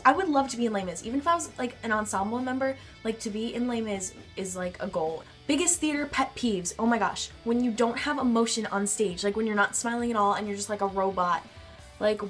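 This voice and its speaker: A teenage girl with a nasal, congested voice from a cold, chatting in a bubbly way in her bedroom. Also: medium pitch. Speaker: vlogger. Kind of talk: casual chat answering a tag.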